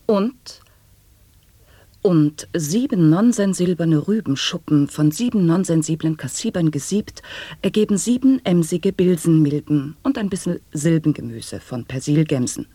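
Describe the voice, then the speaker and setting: A woman reading experimental sound poetry in a radio play, rolling through the wordplay in a steady rhythm. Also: low-pitched, measured pace, husky, no echo. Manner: rhythmic tongue-twister delivery.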